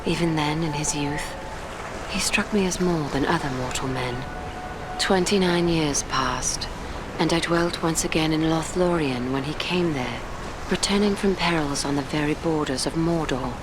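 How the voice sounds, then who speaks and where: An actress playing a gentle, solemn elven noblewoman in a fantasy game, speaking in measured, archaic phrases. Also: deep voice, background noise, natural voice. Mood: wistful, solemn. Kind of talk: dramatic fantasy video game acting.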